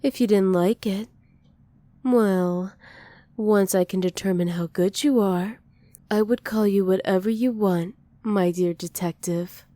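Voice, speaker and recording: A woman playing a dominant, possessive villain who dotes on a captive, softly menacing and teasing in an ASMR roleplay. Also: mid-range voice, measured pace, dry recording, natural voice. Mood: commanding, possessive. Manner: soft, teasing menace with breathy whispered endearments.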